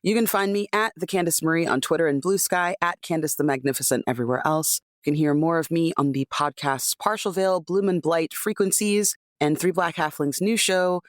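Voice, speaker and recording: A woman with a low-pitched voice. She speaks casually, like a podcast player giving her sign-off, and the recording is close and dry. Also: brisk, hardly any pauses, dry recording.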